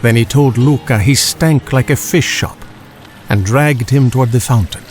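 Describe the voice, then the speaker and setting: A man's voice: medium pitch, moderate pace, soft background music. A man reading a children's storybook as a scripted audiobook narrator.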